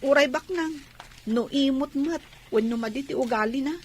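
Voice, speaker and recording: High-voiced, protesting young daughter played by a woman. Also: moderate pace, slight background noise, close to an everyday voice. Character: reluctant young unmarried daughter, protesting arranged marriage. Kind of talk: Ilocano audio drama acting.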